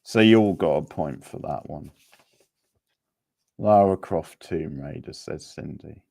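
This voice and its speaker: A man's voice: extremely low pitch, slow, gravelly, a little mellow. A man hosting a live-streamed quiz in an informal, chatty way, reacting to players' answers. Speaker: quiz host.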